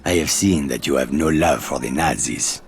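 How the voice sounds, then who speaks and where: Very deep-voiced, goading French resistance man. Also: moderate pace, creaky. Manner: provoking, theatrical. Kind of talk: dramatic video game acting.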